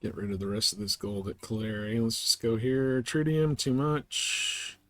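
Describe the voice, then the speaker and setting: A man's voice: mid-range voice, normal speed, fairly bright tone. A man doing casual, laid-back live gameplay commentary, muttering to himself as he goes. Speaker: live game streamer.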